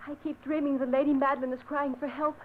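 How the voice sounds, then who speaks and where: Woman acting very high, frightened young lady. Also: level tone, quick pace, natural voice. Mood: anxious. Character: anxious, frightened, pleading young lady of the house. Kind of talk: old-time radio horror acting. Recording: muffled echoing radio recording, loud noise.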